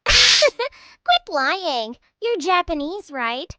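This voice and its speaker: A woman's voice: very high-pitched, sing-song, very slow, pushed and bright, dry recording, full character voice. Sneering schoolgirl bullies. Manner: sneering, taunting. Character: mean teenage girl bullies, mocking. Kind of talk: anime dub voice acting. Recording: music bed behind voice.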